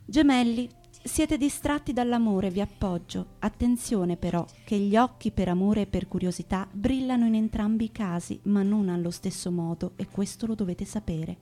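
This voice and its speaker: A woman's voice: medium pitch, moderate pace, few pauses, dark tone. A woman reading a scripted radio horoscope, handing out wry, bossy advice. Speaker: horoscope reader.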